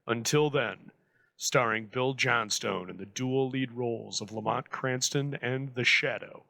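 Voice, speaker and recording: A man's voice: mid-range voice, a little slow, creaky, bright, forceful tone, dry recording, low-quality recording. A man narrating a scripted, dramatic introduction as host, close on the mic. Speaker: host introducing old radio episode.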